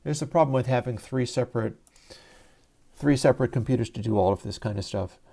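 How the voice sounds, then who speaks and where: Male livestream host, soothing and mellow, easygoing explainer on a lav mic. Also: mid-range voice, a little fast, creaky. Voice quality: soft, soothing voice. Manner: relaxed, rambling, explains gear step by step. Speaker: livestream host and audio developer. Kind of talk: casual livestream demo. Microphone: lavalier mic.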